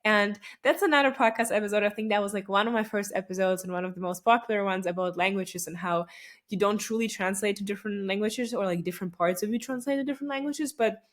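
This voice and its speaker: A woman's voice: medium pitch, fast. A young woman whose first language is Russian, talking through her thoughts on her own podcast in a loose, chatty way with many fillers, restarts and small laughs. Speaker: podcast host. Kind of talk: casual solo podcast reflection.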